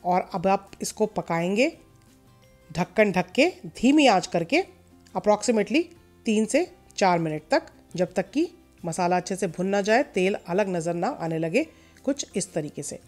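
Woman giving calm recipe instructions. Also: mid-range voice, unhurried, faint music behind. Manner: calm step-by-step instruction, mixing in English words. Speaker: cooking channel host. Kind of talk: recipe voice-over.

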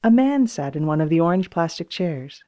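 A woman's voice: lowish voice, very animated, moderate pace, warm tone, dull audio. A woman reading scripted children's book narration as an audiobook narrator.

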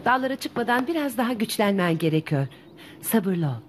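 An actress playing a prim, protective governess in an animated children's series, proper and tender. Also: female voice, mid-range voice, normal speed, a little hiss or hum, natural voice. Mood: caring, firm. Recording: music behind the voice.

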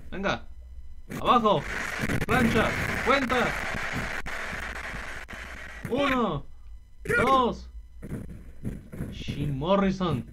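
Animated Mexican gamer, very noisy recording. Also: male voice, high-pitched, extremely slow, long pauses. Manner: foul-mouthed, slangy, talking to the game characters. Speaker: gameplay video host playing a wrestling game. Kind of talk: casual, improvised game commentary.

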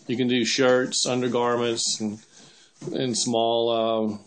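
A man's voice: medium pitch, little pitch movement, slow pace, a little hiss or hum, rough recording. A man casually narrating a video he is filming in his home kitchen, explaining each step and laughing a little now and then. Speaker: channel host filming a demo. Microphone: handheld camera mic.

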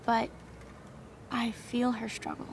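An actress voicing a shy, devout young woman student in a video game, speaking softly and earnestly. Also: a little high, level tone, slow pace, a little hiss or hum. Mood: sincere.

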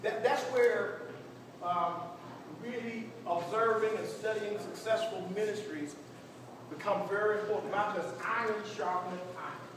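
A high-voiced man teaches like a preacher, repeating phrases for emphasis and prompting his group to respond. He is picked up by a distant mic in a church meeting room, with strong echo and clear background noise. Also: measured pace. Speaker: pastor leading a church workshop. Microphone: distant camera among the audience. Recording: echoey hall, distant mic, noisy room.

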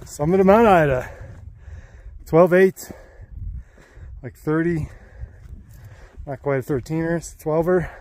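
A man's voice: fairly high pitch, a little slow, pauses often, a little mellow. A middle-aged man filming his own mountain hike outdoors on a phone, giving short, matter-of-fact updates on time, distance and elevation. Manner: terse, matter-of-fact, clipped status remarks. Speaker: hiker filming his climb. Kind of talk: casual trail vlog updates. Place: outdoors on a high mountain trail. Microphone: handheld phone camera. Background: faint wind.

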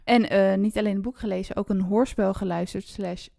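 A woman's voice: medium pitch, steady tone, brisk, gravelly, mellow, no room sound, clean studio sound. A woman with a Netherlands Dutch accent chatting casually as co-host of a book podcast, upbeat and agreeable. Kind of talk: casual co-hosted chat.

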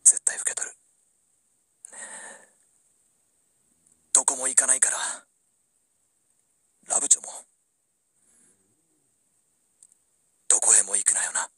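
A man playing an earnest, apologetic young man in a romantic radio drama, speaking tenderly and deliberately to his childhood sweetheart. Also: mid-range voice, pushed and bright, slightly rough, dry recording, whispered. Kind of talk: romantic radio drama, first-person narration and dialogue.

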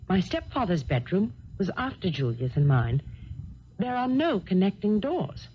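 Uneasy young heiress, muffled old radio. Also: female voice, mid-range voice, very animated, a little slow. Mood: grave, uneasy. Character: uneasy young English heiress recounting her sister's death. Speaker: actress. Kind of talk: 1970s radio drama acting. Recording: dull, noisy archived radio broadcast.

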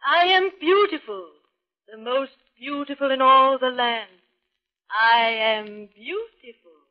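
High-voiced, vain evil queen. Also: takes it slowly. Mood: imperious. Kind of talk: theatrical 1930s radio acting. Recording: dull 1930s radio broadcast.

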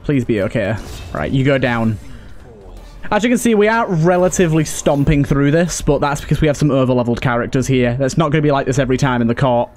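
A man's voice: mid-range voice, lively intonation, quick pace, darkish tone, faint music behind. A man giving casual let's play commentary as a gaming host, thinking aloud and often repeating his phrases. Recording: clear background game ambience. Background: video game ambience and effects.

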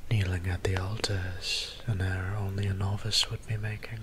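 A man playing a soft-spoken, melancholy vampire priest in an ASMR roleplay, musing in formal, old-fashioned words with a breathy near-whisper. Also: low-pitched, level tone, normal speed, a little hiss or hum. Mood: brooding, self-reproaching. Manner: formal, archaic, musing diction.

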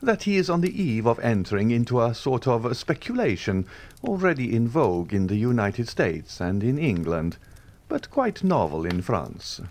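A man voicing a suave nobleman who speaks in a smooth, half-jesting, courteous tone. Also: mid-range voice, sing-song, normal speed, darkish tone, pitched above the performer's own voice. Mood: courteous, ironic. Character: suave, coolly ironic older nobleman, male.

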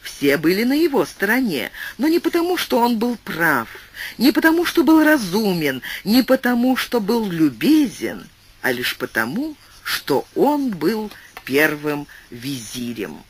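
A man narrating a literary audiobook with expressive, storytelling delivery. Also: measured pace, a little hiss or hum. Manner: expressive storytelling with wide swings of pitch.

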